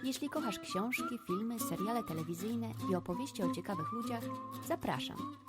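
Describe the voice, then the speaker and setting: A woman's voice: on the high side, wildly swooping pitch, quick pace, loud music behind the voice. A woman hosting a podcast, a native Polish speaker, asking friendly questions. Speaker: podcast host. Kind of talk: podcast intro and interview.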